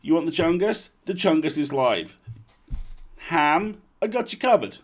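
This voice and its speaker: A man hosting a livestream with casual, chatty introductions and playful, animated asides. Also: fairly high pitch, measured pace. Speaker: livestream host.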